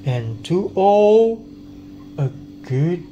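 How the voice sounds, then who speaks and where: A young student with a Thai accent narrates a storybook-style cartoon script. The delivery is very slow, at a medium pitch. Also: very animated, dull audio, background noise. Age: young adult.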